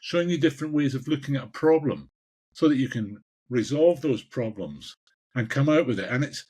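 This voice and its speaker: A Scottish man in his sixties with a husky voice, answering interview questions in a passionate, emphatic way and repeating phrases for emphasis. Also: medium pitch, lively intonation, a little fast, a little mellow. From Scotland. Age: 60s. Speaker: executive coach, podcast guest.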